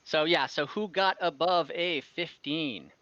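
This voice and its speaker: A man acting as game master in a casual online tabletop game, explaining rules in an easygoing, halting way. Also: on the high side, pushed and bright, dry recording, lo-fi sound.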